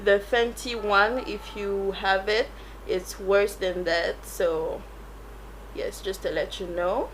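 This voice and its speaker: A woman's voice: mid-range voice, fairly even intonation, slow, a little hiss or hum, rough recording. A French-accented woman, a native French speaker, chatting casually through a makeup tutorial and rambling as she searches for English words. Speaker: beauty YouTuber.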